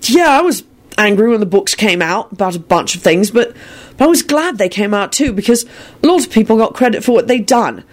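A woman's voice: medium pitch, animated, fairly quick, pitched above the performer's own voice, lightly acted. A woman reading the part of an earnest, determined young man making an emphatic plea in an audiobook. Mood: fierce, earnest. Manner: emphatic, vehement.

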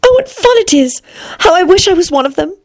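A woman voicing a curious, polite and excitable little girl in a storybook reading. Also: very high voice, unhurried, voice pushed up. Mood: excited, delighted. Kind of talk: storybook character voice.

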